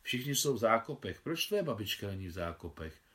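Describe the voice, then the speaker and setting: A man voicing a gentle, fatherly mustached soldier in an audiobook. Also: on the low side, big pitch swings. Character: kindly mustached older soldier.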